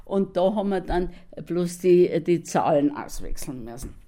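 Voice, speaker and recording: Interviewee, woman, low mellow voice, chatty storyteller. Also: animated, measured pace. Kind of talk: recalled anecdote.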